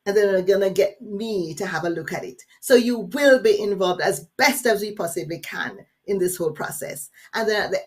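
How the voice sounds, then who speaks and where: A woman from Jamaica with a Jamaican accent, leading a live workshop webinar in an energetic, engaging way and putting questions straight to her audience. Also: mid-range voice, normal speed, hardly any pauses. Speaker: workshop facilitator.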